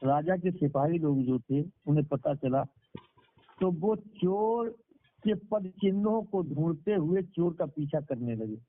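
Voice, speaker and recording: Higher-voiced male preacher on a phone line. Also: expressive, unhurried. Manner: repeats phrases for emphasis. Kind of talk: devotional sermon with moral storytelling. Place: speaking over a conference call. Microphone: telephone. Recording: tinny phone conference-call line.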